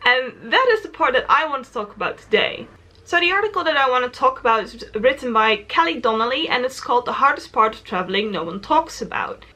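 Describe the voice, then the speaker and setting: Dutch woman in her twenties, travel vlogger, fairly high, bright voice, thinks aloud and corrects herself as she goes. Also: very animated, brisk. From Netherlands. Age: 20s. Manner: thoughtful, earnest, self-correcting. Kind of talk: reflective personal vlog talk.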